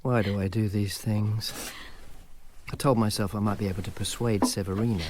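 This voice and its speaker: A man's voice: fairly low pitch, steady tone, normal speed, darkish tone, slight background noise, close to an everyday voice. An actor playing a dry, self-mocking Roman informer who narrates his own story in a radio drama. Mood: wry. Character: wry male Roman informer, world-weary. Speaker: narrator and lead character.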